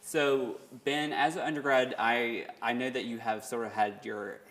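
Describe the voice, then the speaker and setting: A man hosting an interview, asking relaxed questions and saying "y'all" often. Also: medium pitch, measured pace. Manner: relaxed, Southern "y'all" phrasing. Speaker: interview host.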